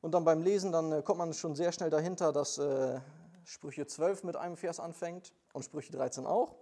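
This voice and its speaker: Hesitant young preacher with a mellow voice. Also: male voice, on the high side, fairly even intonation, moderate pace. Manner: hesitant, many fillers, plain earnest delivery. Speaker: lay preacher and missionary. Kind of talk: informal church sermon with prayer.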